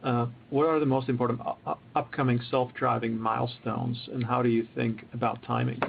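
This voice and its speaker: A man's voice: medium pitch, flat delivery, fairly quick, vocal fry. A man moderating an earnings call, reading out investor questions. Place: conference call, heard through a livestream. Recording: tinny phone-like call audio, faint noise.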